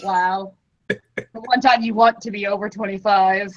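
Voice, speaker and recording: A woman in her thirties co-hosting a casual podcast, playful and quick to laugh. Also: mid-range voice, fairly even intonation, highs cut off. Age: 30s. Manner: playful, exclaiming, laughs often. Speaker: podcast co-host. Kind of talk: casual comedic chat.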